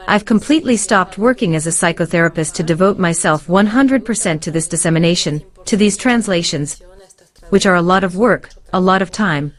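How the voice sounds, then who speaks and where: A woman with a mid-pitched voice answers interview questions thoughtfully. She stacks up repeated phrases for emphasis and speaks in a reflective, earnest manner. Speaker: translator.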